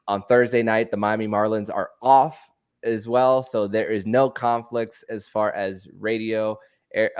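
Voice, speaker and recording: A man hosting a sports podcast, asking questions and thanking his guest, halting with many fillers and restarts. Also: lowish voice, smooth, no room sound, clean studio sound. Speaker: podcast host. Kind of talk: casual sports podcast interview.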